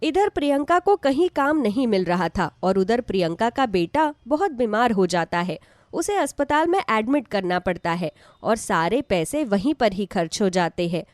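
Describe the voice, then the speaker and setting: A woman's voice: medium pitch, very animated, moderate pace, no room sound, clean studio sound. A woman narrating a scripted devotional story as a storyteller.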